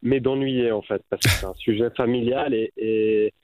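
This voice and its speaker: A man's voice: medium pitch, fairly even intonation, moderate pace, a little mellow, dry recording. A man in his thirties with a Swiss French accent, a guest filmmaker speaking reflectively with many fillers over a phone line. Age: 30s. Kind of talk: radio interview by phone.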